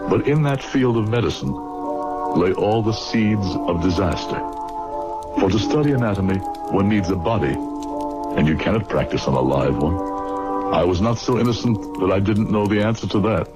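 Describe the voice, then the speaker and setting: A man's voice: on the low side, animated, normal speed, lightly acted. An actor playing an earnest medical man in an old-time radio drama, formal and theatrical in his speech. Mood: rueful. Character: earnest young medical student, later a rueful narrator. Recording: old radio recording with music bed.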